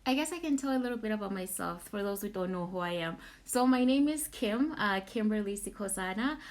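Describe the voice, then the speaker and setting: A young adult woman from Zimbabwe hosting a casual live question-and-answer session, informal and halting, repeating words as she thinks. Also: medium pitch, animated. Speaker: platform host answering audience questions.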